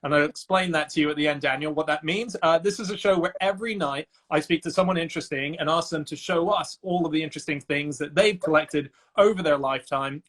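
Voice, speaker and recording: A man hosting a casual online chat show, talking warmly and hesitantly with lots of ums and uhs over a home video call. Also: fairly high pitch. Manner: chatty, hesitant with many fillers. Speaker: host.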